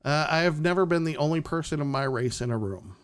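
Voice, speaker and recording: A man's voice: on the high side, expressive, quick pace, clean tone. Male stream host, blunt and sarcastic, casual opinionated delivery, swears freely. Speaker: podcast host.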